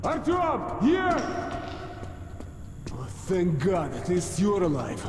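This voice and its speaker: An actor playing a concerned soldier comrade in a video game. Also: male voice, sits high, huge pitch swings, slow pace, exaggerated voice. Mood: relieved. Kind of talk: video game cutscene acting. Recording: echoey, reverberant hall.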